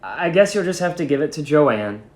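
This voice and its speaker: A man's voice: fairly high pitch, very animated, moderate pace. A man voicing an earnest, emotional schoolgirl in a storybook reading, eager in manner. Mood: hurt, defiant. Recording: echoey room.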